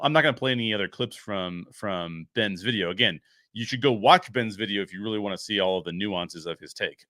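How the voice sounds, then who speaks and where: A man's voice: low-pitched, bright, forceful tone, no echo, studio quality. A man giving unscripted film commentary as a show host, explaining his points emphatically.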